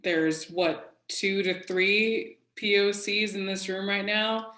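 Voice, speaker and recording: A woman's voice: mid-range voice, fairly even intonation, slow pace, pushed and bright. A woman giving casual, emphatic feedback on a video call, repeating her key phrases. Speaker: participant giving script feedback. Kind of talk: casual spoken critique. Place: echoey room, on a video call. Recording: roomy, echoey video call audio.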